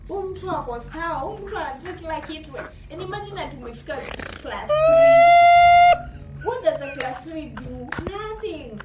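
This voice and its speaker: A woman with a Kenyan accent telling casual personal stories in an animated way, acting out remembered dialogue and switching between two languages. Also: extremely high pitch. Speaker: vlogger. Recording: roomy echo, distant mic, noisy background.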